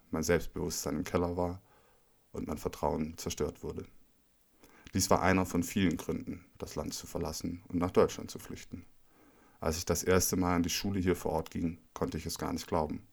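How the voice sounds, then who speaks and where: A man calmly reading a personal first-person text aloud in a measured, even way. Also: low voice, creaky. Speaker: reader. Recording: intro over zither music.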